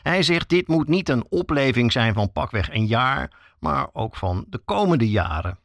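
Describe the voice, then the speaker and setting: A male reporter narrates a documentary podcast with a lively, varied melody. His pitch is medium, and he speaks straight to the listener like a storyteller. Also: unhurried, dry recording, clean studio sound. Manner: addresses listener directly, storytelling.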